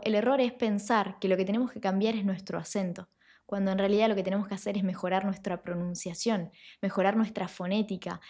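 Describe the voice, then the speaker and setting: A woman giving an online lesson as an Italian teacher, explaining sounds clearly and slowing down to model them. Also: medium pitch, darkish tone.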